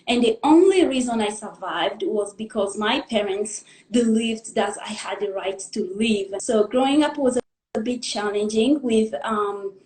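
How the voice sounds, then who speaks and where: A young woman from the Democratic Republic of the Congo tells her life story as a guest in a live interview, in an earnest, halting way, pausing to gather her thoughts. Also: fairly high pitch, a little mellow, reverberant, poor audio. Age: young adult.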